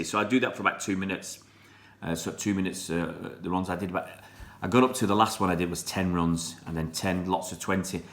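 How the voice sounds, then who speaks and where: A deep, low-pitched man talks casually to his live-stream viewers. He is middle-aged, chatty and a bit rambling, and he greets people by name as he reads their comments. The chat is loose and unhurried. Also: fairly bright tone. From born in Pakistan, raised in England. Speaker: fan channel live streamer.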